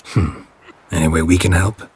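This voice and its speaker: A man playing a gruff, stoic middle-aged monster hunter in a video game, gravelly and laconic, calm and caring. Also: low voice, normal speed. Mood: dry, calm. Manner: laconic, understated.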